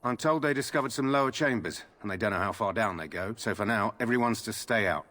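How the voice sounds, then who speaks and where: A fantasy game soldier on guard duty. He talks fast in a lowish voice, terse and matter-of-fact. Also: animated, bright, forceful tone. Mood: dutiful, matter-of-fact. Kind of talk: video game character dialogue.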